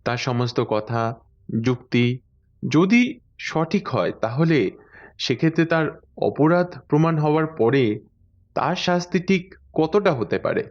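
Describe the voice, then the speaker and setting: A man playing a composed, probing detective in an audio drama, deliberate and thoughtful as he questions. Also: on the high side, animated, lo-fi sound.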